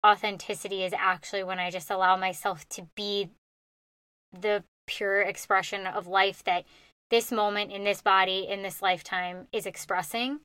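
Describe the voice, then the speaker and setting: A woman's voice: mid-range voice, little pitch movement, moderate pace, pushed and bright, clean tone, dry recording. A woman on a podcast musing aloud in a searching, hesitant way, laughing now and then. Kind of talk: reflective casual conversation.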